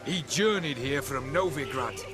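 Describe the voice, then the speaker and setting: An actor voicing a weary husband in a game cutscene, dry and deadpan. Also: male voice, mid-range voice, unhurried, music in the background, natural voice.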